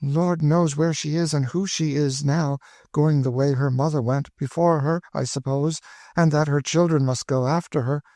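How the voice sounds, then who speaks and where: A man's voice: a little high, steady tone, normal speed, dark tone, no echo, voice pushed up, natural voice. A man voicing a weary, despairing woman who speaks with dry bitterness. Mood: bitter.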